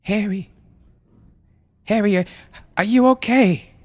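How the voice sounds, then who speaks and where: A man voicing an anxious, protective mother in a story, with a breathy, pleading urgency. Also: mid-range voice, very animated, measured pace, voice pushed up. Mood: panicked.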